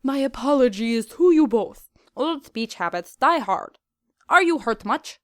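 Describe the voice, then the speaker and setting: A woman's voice: sits high, lively intonation, a little slow, dry recording, voice pushed up. An imperious pony princess of a fantasy storybook, performed by a woman. She speaks with stately formality and commands like royalty. The sound is close and dry. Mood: formal concern.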